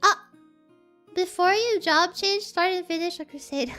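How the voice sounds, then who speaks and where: A soft-voiced woman streamer chats with her viewers in a very high, sharp, bright voice. She giggles and repeats herself as she reads their messages. Faint music plays behind her. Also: unhurried. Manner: giggly, chatty, stumbles and repeats words. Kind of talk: casual chat, reading viewer messages.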